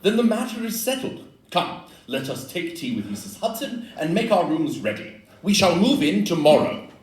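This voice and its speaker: Courteous, decisive Victorian gentleman detective for a male actor, formal and lively, roomy stage recording. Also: sits high, moderate pace. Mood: persuasive, decisive. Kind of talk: stage audio-play acting. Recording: echoey room, distant mic, faint noise.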